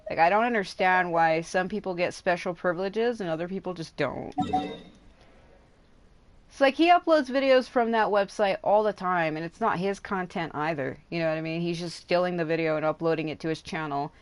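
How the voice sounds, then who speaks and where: A woman's voice: fairly low pitch, a little fast, no room sound. A woman chatting casually as a livestreamer, rambling and venting with casual swearing. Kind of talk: casual gaming livestream chat.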